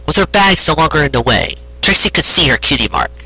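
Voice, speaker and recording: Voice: medium pitch, lively intonation, brisk, sharp tone, breathy edge, rough recording. An amateur narrator reading a story expressively, with occasional stumbles and self-corrections.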